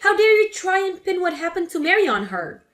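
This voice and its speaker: A woman's voice: very high voice, sing-song, fairly quick, pitched above the performer's own voice, full character voice. A woman voicing an angry grown daughter who confronts her mother sharply. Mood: indignant. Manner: sharp, indignant.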